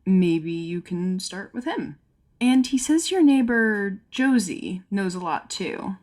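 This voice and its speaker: A woman's voice: medium pitch, lively intonation, slow, noisy background. An actress playing a patient woman who gives firm advice. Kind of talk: audio drama acting.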